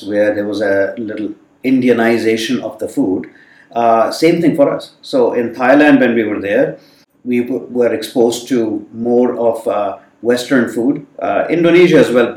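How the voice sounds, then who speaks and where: A man whose first language is Hindi, telling stories from his childhood abroad in a relaxed, thoughtful way with frequent fillers. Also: mid-range voice, normal speed. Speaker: guest. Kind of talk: reflective storytelling in conversation. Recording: roomy sound from a distant mic.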